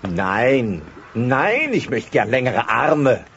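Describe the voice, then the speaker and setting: Shy, stammering tyrannosaurus. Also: male voice, mid-range voice, sing-song, slow, a bit bright, exaggerated voice. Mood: wistful, earnest. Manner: hesitant, polite, stammering. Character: shy, bumbling, good-natured adult tyrannosaurus family friend. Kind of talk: German audio play acting. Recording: dull cassette sound, faint noise.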